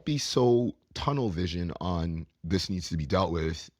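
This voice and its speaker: A man with a deep voice, a podcast guest who trains camp counselors, talking in an animated, storytelling way with repetition and laughs. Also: measured pace, no echo, studio quality. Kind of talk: conversational podcast talk.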